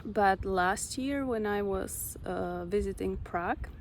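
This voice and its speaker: Slow, gravelly-voiced Czech woman reflecting. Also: mid-range voice, a little hiss or hum. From Czech Republic. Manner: slow, reflective, pausing often. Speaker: vlogger. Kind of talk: personal spiritual storytelling.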